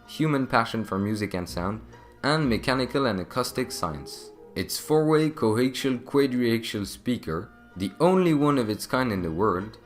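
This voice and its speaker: A man reading scripted narration as the narrator of a corporate video. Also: lowish voice.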